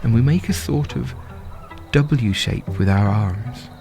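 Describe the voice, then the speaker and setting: A man performing a dramatic first-person monologue as a lovestruck, excitable young festival-goer, with poetic, rhythmic delivery. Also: lowish voice, slow pace, creaky. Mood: tender, elated. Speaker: solo performer. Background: music and sound design behind the voice.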